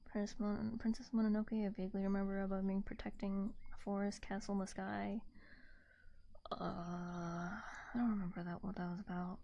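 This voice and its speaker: A woman streamer chatting casually in a gentle, soft-spoken ASMR style, rambling and hesitant, close to a binaural microphone. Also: mid-range voice, flat delivery, moderate pace, creaky, highs cut off.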